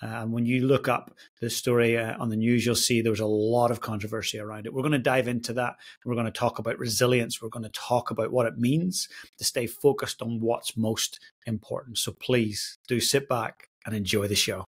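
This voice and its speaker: A man's voice: mid-range voice, steady tone, a little fast, breathy edge. A man from Northern Ireland hosting a podcast, introducing and questioning his guest earnestly and enthusiastically. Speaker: podcast host. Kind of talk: podcast interview.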